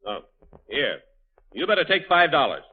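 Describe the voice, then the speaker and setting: A man's voice: on the high side, wildly swooping pitch, measured pace, slightly rough. A man playing a show producer in a 1930s radio comedy sketch, talking down to a young performer. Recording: tinny, phone-like 1930s radio broadcast.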